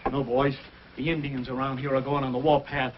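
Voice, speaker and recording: An actor playing an anxious old trading post keeper, pleading urgently in an old-time radio adventure. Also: male voice, mid-range voice, flat delivery, brisk, slight background noise, natural voice. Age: older. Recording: muffled old radio recording.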